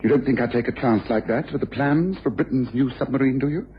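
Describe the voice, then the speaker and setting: An actor playing a shrewd, self-assured English gentleman detective in an old-time radio drama. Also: mid-range voice, quick pace, natural voice. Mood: smug, explanatory. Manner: articulate, authoritative, self-assured. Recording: dull, hissy old radio recording.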